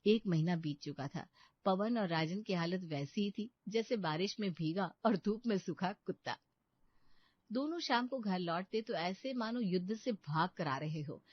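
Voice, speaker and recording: A woman's voice: lowish voice, no echo, dull audio. A woman reading a scripted storybook narration as the storyteller.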